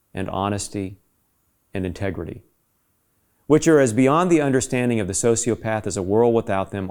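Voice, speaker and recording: A man's voice: lowish voice, darkish tone. Man reading an essay with emphatic delivery. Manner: emphatic, rhetorical questioning. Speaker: reader of an essay. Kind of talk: scripted essay narration.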